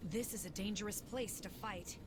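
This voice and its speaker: A woman's voice: mid-range voice, moderate pace, heavy background noise, close to an everyday voice. An actress playing a female companion fighter in an action video game. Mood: wary.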